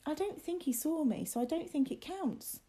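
A woman's voice: high-pitched, expressive, moderate pace, warm tone, pitched above the performer's own voice. A woman voicing a frightened, stubborn young boy in a storybook, with an insistent, pleading manner. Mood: anxious, insistent.

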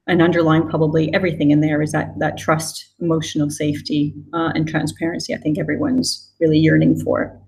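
A woman's voice: deep voice, flat delivery, a little fast, few pauses, darkish tone, rough recording. A woman from West Coast Canada whose accent is mixed with British after years in the UK. She gives thoughtful, conversational answers as an interview guest. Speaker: guest, design executive.

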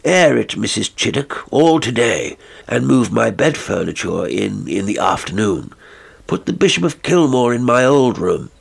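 A man reading the part of an impatient country squire who gives brisk, curt orders. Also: medium pitch, sing-song, creaky, slightly rough, pitched above the performer's own voice, heavily acted. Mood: commanding.

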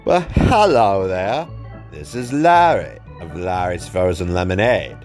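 A man playing a folksy sponsor pitchman in a parody advertisement for a podcast. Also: expressive, slow pace, a little mellow, soft background music. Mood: slow, salesmanlike. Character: folksy, slow-talking male lemonade sponsor pitchman.

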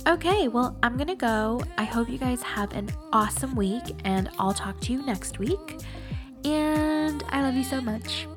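A woman's voice: sits high, animated, unhurried, music in the background. A young adult woman with a nasal, congested voice hosting a casual, confessional solo podcast, speaking candidly and informally. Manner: candid, chatty, informal with swearing. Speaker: podcast host.

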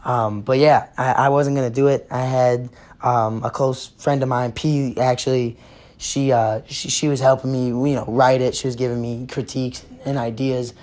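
A hoarse young man, hosting a casual sports podcast and ranting bluntly with lots of swearing while losing his voice. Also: medium pitch, level tone, moderate pace, a little mellow. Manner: profane, blunt, fired up.

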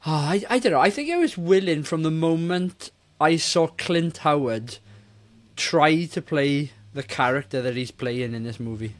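A man's voice: fairly high pitch, normal speed. A man in his forties co-hosting a casual film review podcast, speaking in a blunt, exasperated way. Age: 40s. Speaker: podcast co-host.

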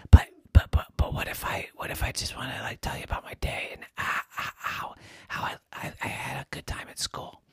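A man doing a hoarse whispering little boy. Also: darkish tone, no room sound, close to an everyday voice. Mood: pleading whisper. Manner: eager, stammering, rushing questions. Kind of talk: bedtime storytelling.

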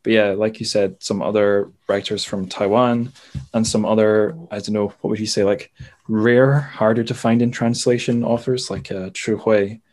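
A man's voice: on the low side, fairly even intonation, normal speed, darkish tone. A man hosting a podcast, chatting casually about books in an informal, rambling, self-deprecating way. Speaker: podcast host. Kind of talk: casual book chat.

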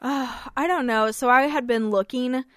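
A woman's voice: high-pitched, normal speed, fairly bright tone, dry recording, clean studio sound. A woman with a scratchy voice, co-hosting a radio talk show in a casual, chatty style.

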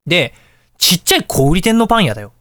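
早口で抑揚の激しい高めの声の男性. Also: male voice, high-pitched, fast talker, no echo, clean studio sound. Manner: animated storytelling, acts out dialogue and reactions. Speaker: radio show host. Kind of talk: casual anecdotal radio talk.

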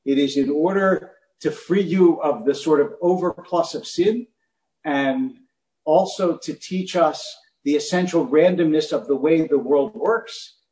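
A man's voice: on the high side, a little slow, a little mellow. Man telling a story in an echoey room. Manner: conversational, chuckling asides. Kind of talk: sermon storytelling.

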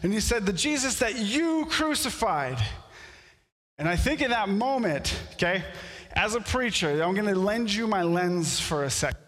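A pastor whose first language is French, preaching a sermon in a storytelling way that builds to animated outbursts. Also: male voice, high-pitched, moderate pace, in a large hall. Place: church sanctuary.

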